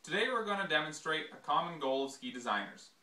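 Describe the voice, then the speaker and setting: A young man with a bright, slightly high voice walks through a technical demonstration in a measured, scripted way. He sounds like he is explaining each step carefully for viewers. The voice rings around a small, hard-walled workshop. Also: expressive. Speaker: ski company presenter. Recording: echoey workshop room.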